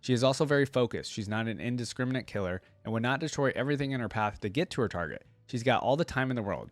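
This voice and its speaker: A man giving casual reaction commentary, reading aloud and thinking out loud in a conversational way. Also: lowish voice, dry recording. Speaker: reaction video host.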